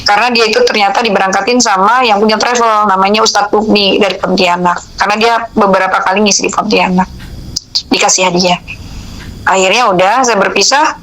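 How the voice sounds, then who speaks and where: A woman's voice: medium pitch, a little fast, poor audio. A middle-aged woman, telling a personal story in an interview and acting out the dialogue informally. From Indonesia. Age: 45 or older. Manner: animated retelling, quoting dialogue in informal speech. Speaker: interviewee, religious counsellor.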